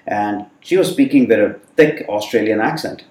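A man whose first language is Hindi, telling stories from his childhood abroad in a relaxed, thoughtful way with frequent fillers. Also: lowish voice, normal speed. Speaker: guest. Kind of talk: reflective storytelling in conversation. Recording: roomy sound from a distant mic.

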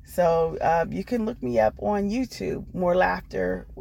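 A woman's voice: lowish voice, measured pace, creaky, warm tone, slight background noise. A woman with an American accent telling her own story off the cuff, speaking in an earnest, encouraging manner. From Washington D.C. area, United States. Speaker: guest storyteller, comedian and actress. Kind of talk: unscripted personal storytelling.

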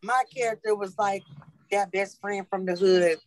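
A woman's voice: medium pitch, steady tone, normal speed, no echo. A woman guest chatting casually in a slangy, conversational way. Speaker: voice actor guest. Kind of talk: casual chat.

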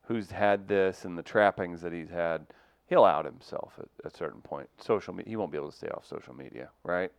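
A man co-hosting a casual podcast chat, musing aloud in a drawn-out way. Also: sits low, gravelly.

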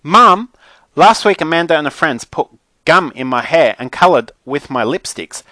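A man as a spoiled, whiny, high-pitched teenage girl. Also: expressive, moderate pace, sharp tone, no echo. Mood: whining outrage. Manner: whiny, melodramatic, bossy teen slang. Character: spoiled, dramatic, indignant teenage girl on the phone. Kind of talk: children's audiobook character.

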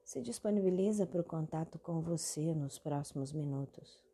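A woman with a Brazilian accent hosting a guided meditation, giving calm instructions in short phrases with long pauses between them. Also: low-pitched, husky. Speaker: guided meditation podcast host. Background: meditation music.

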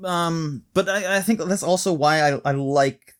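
A man's voice: a little high, a little slow. A man in his thirties from Malaysia, co-hosting a podcast and chatting enthusiastically, with a rambling way of talking. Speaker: podcast co-host. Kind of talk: casual chat.

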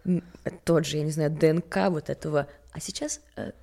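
A young woman from Sweden speaks in a low, gravelly register with plenty of vocal fry. She is a model being interviewed, and she tells things candidly, wandering between thoughts and poking fun at herself. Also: fairly even intonation, fairly quick, mellow. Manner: candid, rambling, self-mocking. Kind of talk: casual chat.

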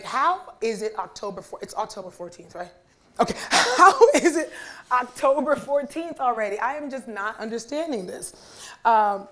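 A young woman preaches from the pulpit, her voice raspy and worn hoarse from a weekend of talking. She chats with the congregation between points and prays aloud. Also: medium pitch. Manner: chatty and warm with the congregation, asking for responses. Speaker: guest preacher. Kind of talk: sermon with prayer. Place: church sanctuary.

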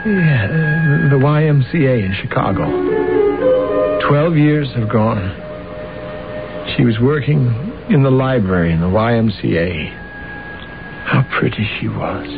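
An actor playing a vain, self-deluded assassin in an old radio drama, speaking formally and hesitantly. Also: male voice, mid-range voice, measured pace, creaky, pauses often, over music. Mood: wistful, rambling. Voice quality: hesitant, stammering. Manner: formal, self-important, courtly. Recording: muffled, noisy old radio broadcast recording.

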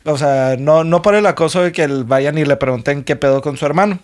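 Young man, Mexican accent, podcast co-host, slangy, laughs a lot, fairly high pitch. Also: brisk, a little mellow. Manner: laid-back, jokey, slangy, laughs often. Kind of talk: casual chat.

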